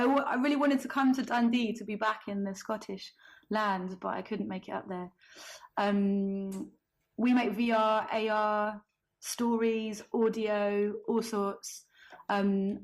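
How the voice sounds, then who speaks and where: A woman with a flat, even voice, introducing herself on a panel. She sounds relaxed and conversational over a video call. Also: mid-range voice, low-quality recording. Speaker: panelist, company founder.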